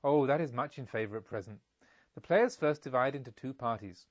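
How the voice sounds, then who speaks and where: A man's voice: mid-range voice, lively intonation, a little fast, no room sound, highs cut off, close to an everyday voice. A man voicing a polite, carefree young merchant's son in an audiobook, with eager, formal speech. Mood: polite, enthusiastic. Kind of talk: audiobook character dialogue.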